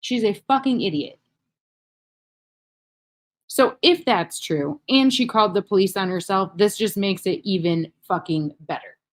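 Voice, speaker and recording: A woman's voice: medium pitch, a little slow. A woman hosting an unscripted reaction video, speaking in a blunt, mocking way with heavy swearing and emphatic repetition.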